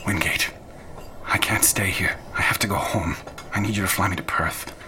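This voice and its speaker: An actor playing a haunted, obsessive older scholar, recounting cosmic horror in a grave, breathless, florid radio drama delivery. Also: male voice, low voice, fairly even intonation, moderate pace, whispered, close to an everyday voice. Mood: urgent, weary. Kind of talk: radio drama acting and first-person narration. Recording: dramatic music bed under the voice.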